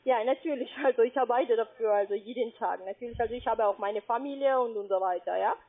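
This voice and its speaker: A woman from Spain with a Spanish accent, working as a call-centre agent and speaking broken German, who spells out letters slowly and patiently. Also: fairly high pitch. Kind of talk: phone sales call. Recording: tinny phone line.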